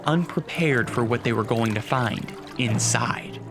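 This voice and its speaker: A man narrating a scripted radio drama in a measured storytelling manner. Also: medium pitch, over loud music.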